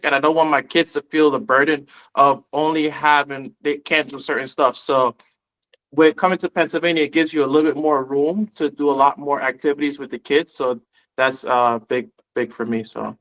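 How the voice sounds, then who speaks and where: Guest investor from Guyana, young adult man, slightly high voice, casual and relaxed, restarts his phrases. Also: a little fast, rough recording. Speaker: podcast guest, real estate investor. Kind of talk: casual conversation.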